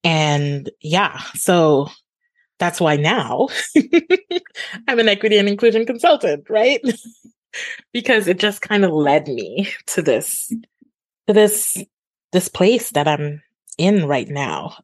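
A woman in her forties from Trinidad and Tobago, chatting as a podcast guest. She has a low voice, laughs easily and sounds animated. The recording is dry and close. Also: takes it slowly, pauses often, dry recording. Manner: laughs often, animated and open. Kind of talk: conversational interview.

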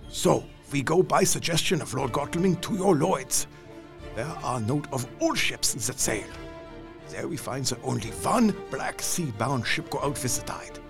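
An actor playing Van Helsing, an elderly vampire hunter, speaking in broken, grandly declaimed English. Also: male voice, mid-range voice, sing-song, measured pace, gravelly, music in the background. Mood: resolute. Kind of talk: audio drama acting.

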